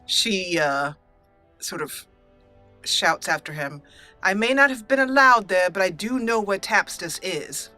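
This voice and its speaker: A woman's voice: mid-range voice, lively intonation, a little slow, bright, forceful tone, faint music behind. A woman hosting a let's play, giving playful roleplay commentary and dramatically voicing her character's lines.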